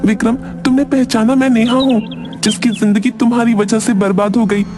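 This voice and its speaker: A man's voice: very high voice, flat delivery, normal speed, a little mellow, soft background music, voice pushed up. A man voicing a bitter, reproachful young woman in a dramatic cartoon story. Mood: bitter, accusing. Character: bitter young woman with burned face, accusing former stalker. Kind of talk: Hindi cartoon story dubbing.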